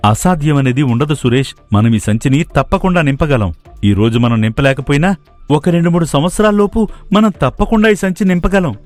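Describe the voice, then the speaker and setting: A man's voice: on the high side, animated, brisk, faint music behind, natural voice. A man voicing a determined, hardworking young villager in a cartoon moral story, earnest and persuasive. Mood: eager, resolute. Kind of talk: dramatised moral story dialogue.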